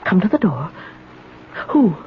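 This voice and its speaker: A woman's voice: fairly low pitch, very animated, a little slow. A woman playing a lonely, put-upon retired spinster, protesting in a fretful, plaintive way, in 1970s radio drama style. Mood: plaintive, anxious. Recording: dull, noisy old radio broadcast.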